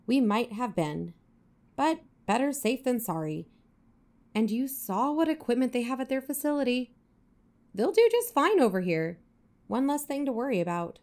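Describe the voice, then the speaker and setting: A woman's voice: a little high, very animated, moderate pace, darkish tone. A woman playing a pragmatic, blunt female investigator in an audio drama, matter-of-fact in her delivery. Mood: reassuring. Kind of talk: fiction podcast audio drama acting.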